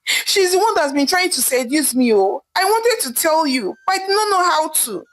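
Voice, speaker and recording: A woman's voice: high-pitched, animated, moderate pace, pitched above the performer's own voice. A woman voicing a lying, lecherous uncle in a folktale, pleading and insistent. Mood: frantic, accusing.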